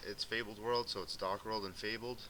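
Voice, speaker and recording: Low-voiced young man with bright tone. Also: steady tone, moderate pace, rough recording. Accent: light Boston. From Massachusetts. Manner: rambling, filler-heavy, laid-back. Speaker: gaming video commentator. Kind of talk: casual commentary over gameplay. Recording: faint hissy home recording.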